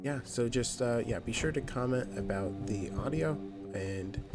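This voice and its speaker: A man's voice: medium pitch, lively intonation, measured pace. A man with a nasal voice hosting a gaming channel, chatting casually and rambling with lots of fillers while he plays. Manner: rambling, with many fillers and restarts. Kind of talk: casual let's play commentary. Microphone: new microphone. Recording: game music behind the voice. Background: game music.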